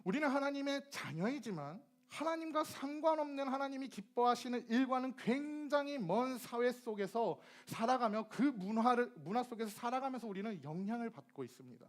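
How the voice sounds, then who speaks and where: A man's voice: very high voice, fairly quick, few pauses. A young man from Gyeonggi preaching a sermon to teenagers, asking them questions and acting out dialect phrases. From Gyeonggi, South Korea. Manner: lively, asks the audience questions and acts out dialect phrases. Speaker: youth ministry preacher.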